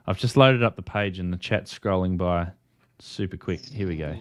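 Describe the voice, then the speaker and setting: Low-voiced man hosting a casual livestream. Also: normal speed, no echo, clean studio sound. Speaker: livestream host. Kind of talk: casual live-stream chat about ham radio.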